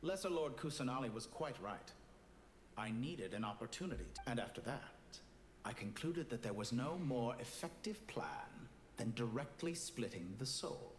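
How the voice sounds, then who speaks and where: An actor playing a cold, arrogant scientist villain in a video game, speaking in a measured, condescending, philosophical way. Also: male voice, mid-range voice, animated, echoey room, slight background noise, close to an everyday voice. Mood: calm, calculating. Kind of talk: scripted video game dialogue.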